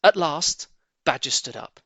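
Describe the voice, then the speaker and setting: A man narrates a children's storybook with lively, sweeping intonation. His voice is bright and projected, recorded very close and dry, with a theatrical storyteller's delivery. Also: a little high, measured pace, slightly rough, dry recording. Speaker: audiobook reader.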